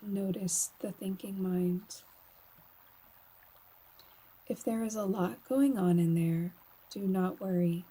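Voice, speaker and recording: A woman guiding a slow meditation in a soft, breathy voice, often near a whisper, calm and soothing, with long pauses. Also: mid-range voice. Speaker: yoga nidra guide.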